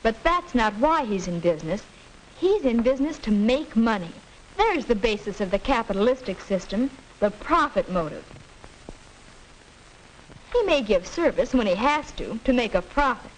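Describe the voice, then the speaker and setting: High, swoopy american schoolgirl, emphatic debater, old 1940s film acting, hissy soundtrack. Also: very animated, normal speed, low-quality recording. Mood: insistent. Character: earnest, argumentative high school girl debating economics.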